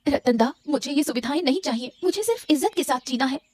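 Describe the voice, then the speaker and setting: A woman's voice: high voice, animated, fast talker, a little mellow. A woman voicing a distressed, defiant young bride-to-be in a cartoon story, protesting emphatically to her father. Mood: indignant. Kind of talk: Hindi cartoon story dialogue.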